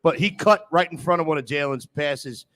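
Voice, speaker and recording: A man's voice: fairly high pitch, moderate pace, fairly bright tone. A man on a sports talk show discussing football in an emphatic, argumentative way.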